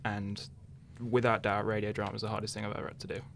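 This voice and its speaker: A young man gives a casual interview. His voice is fairly low and flat, with plenty of creak, and he hesitates as he thinks aloud. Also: fast talker, a little hiss or hum. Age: young adult. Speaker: interviewed radio drama writer. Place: radio interview.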